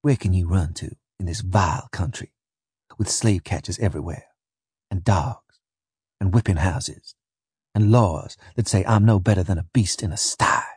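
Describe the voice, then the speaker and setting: A man voicing a bitter, scornful enslaved young woman in an audiobook, speaking softly with weary, sneering resignation. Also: low voice, normal speed, slightly rough, no echo, studio quality, voice pushed down. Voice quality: soft. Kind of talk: audiobook character voice.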